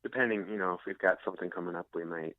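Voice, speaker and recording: An American man in a casual interview, talking reflectively and haltingly as he searches for his words. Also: deep voice, fairly even intonation, no room sound, narrow, tinny audio. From Minnesota, United States. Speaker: musician, interview guest.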